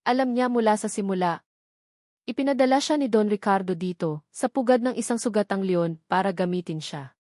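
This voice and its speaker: A woman with a Filipino accent narrating a scripted story in a dramatic, deliberate way. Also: mid-range voice, darkish tone, no room sound, clean studio sound. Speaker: audiobook narrator.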